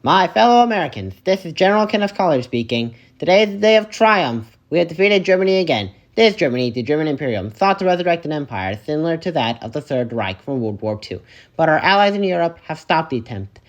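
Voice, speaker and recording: A man playing a solemn, patriotic American general who gives a formal, declamatory and slightly halting victory address in an amateur drama. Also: on the high side, sing-song. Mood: solemn triumph. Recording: dull lo-fi home microphone.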